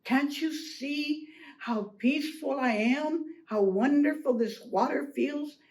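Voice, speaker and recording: Lady playing a fussy duck in a kids tale, high voice, easily flustered. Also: slow, darkish tone, distant mic, low-quality recording. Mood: peevish, content. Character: comfort-loving male duck, easily flustered. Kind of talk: animated children's storytelling.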